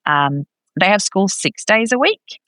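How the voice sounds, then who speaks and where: A woman chatting casually as an interview guest, expressive and quick to act out dialogue as she tells stories. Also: mid-range voice, dry recording. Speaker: podcast guest, expat parent.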